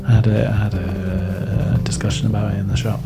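A man's voice: fairly low pitch, flat delivery, brisk, voice pushed down, lightly acted. A British man playing a meek elderly pensioner in an improvised audio play, hesitant and apologetic, repeating words. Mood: mildly indignant. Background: loud acoustic guitar music.

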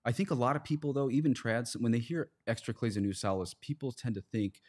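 A man's voice: mid-range voice, fast talker, darkish tone. A man, a priest, speaking as a podcast guest, earnestly explaining religious ideas in conversational, plain analogies.

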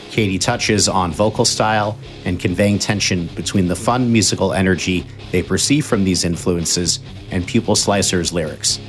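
A man hosting a podcast, reading a scripted intro and asking measured questions with many "sort of" fillers. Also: deep voice. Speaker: podcast host.

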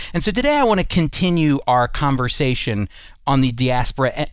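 A man's voice: mid-range voice, no echo, studio quality. An American man hosting a Bible teaching program, explaining earnestly and emphatically in a prepared talk. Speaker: radio Bible teaching host. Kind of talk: teaching talk with scripted segments.

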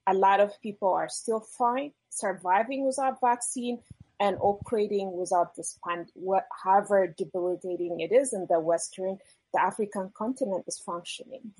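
A woman's voice: medium pitch, normal speed, a little mellow, breathy edge, no echo, low-quality recording. A woman with an Ethiopian accent, a guest scientist giving opinions in an interview in an insistent way.

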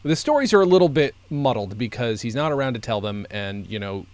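A man's voice: mid-range voice, sing-song, no echo. A man from upstate New York hosting a podcast, talking casually with many fillers and restarts. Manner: halting, filler-heavy, hedging. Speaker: podcast host. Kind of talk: casual chat.

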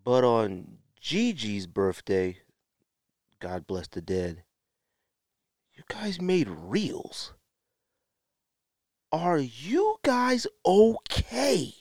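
A man with an American accent giving opinionated solo podcast talk in a blunt, emphatic way, with drawn-out pauses and repeated phrases. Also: medium pitch, huge pitch swings, darkish tone, dry recording, clean studio sound. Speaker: podcast host.

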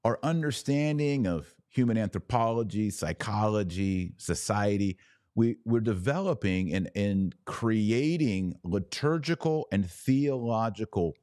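A man's voice: fairly low pitch, lively intonation, a little slow, darkish tone, dry recording, studio quality. An American man giving emphatic live opinion commentary as a podcast host, speaking to his viewers and repeating phrases for stress.

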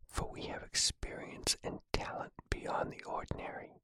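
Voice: moderate pace, natural voice. Benny, a boastful bandit, whispered by a narrator. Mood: blustering. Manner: mock-threatening, bragging speech. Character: blustering, boastful male bandit.

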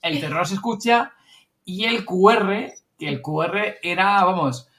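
A man's voice: high voice, a little slow, a bit bright. A man from Spain with a Spanish accent, chatting casually and colloquially as a podcast host, rambling as he goes. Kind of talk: casual chat recounting events.

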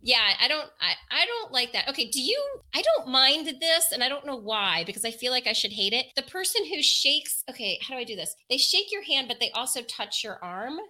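A woman in casual chat, animated and often laughing, with playful mock indignation. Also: sits high, brisk, hardly any pauses.

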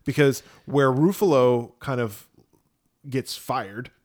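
A man's voice: medium pitch, slow pace, darkish tone. A man co-hosting a podcast, casually retelling a film's story and acting out bits of dialogue as he goes. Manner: conversational retelling with acted-out dialogue. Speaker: podcast co-host. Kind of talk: casual film discussion, retelling the plot.

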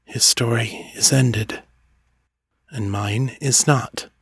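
A man voicing a brooding male dark lord who rants in anguish and breaks into sobs, in a storybook reading. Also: mid-range voice, steady tone, takes it slowly, slightly rough, heavily acted. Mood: reflective.

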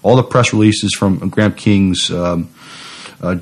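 A man co-hosting a podcast, chatting casually in a measured, somewhat tentative way and at times reading news copy. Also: deep voice. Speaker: podcast co-host.

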